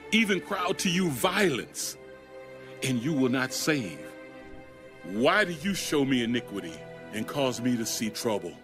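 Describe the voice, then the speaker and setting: Male actor as anguished ancient prophet, audio Bible drama. Slow, solemn, pleading, music underneath. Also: medium pitch, fairly bright tone.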